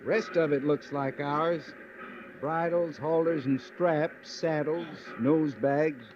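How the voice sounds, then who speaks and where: Folksy cavalry sergeant, fairly high voice. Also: male voice, fairly even intonation, slow pace. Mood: dutiful, wry. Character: plain-spoken, dutiful cavalry sergeant of the Old West. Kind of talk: old radio western acting. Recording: dull, noisy old radio recording.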